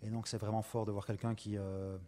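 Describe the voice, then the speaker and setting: A man moderates an academic seminar and introduces the guest speakers. His voice sits a little low. He hesitates often and fills his pauses as he goes. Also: level tone, warm tone. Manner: hesitant, many fillers and restarts.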